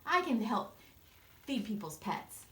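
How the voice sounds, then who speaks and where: A woman's voice: a little high, very animated, takes it slowly, fairly bright tone, roomy sound, distant mic, exaggerated voice. A woman voicing generous, eager children from a storybook in a chirpy way. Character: generous, eager American children offering help.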